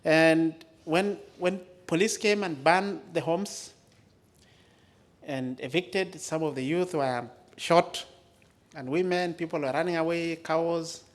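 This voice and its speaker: Male conference speaker, East African, native Maa speaker, fairly high voice, deliberate storytelling delivery with emphasis. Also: fairly even intonation. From Maasai areas of Kenya and Tanzania.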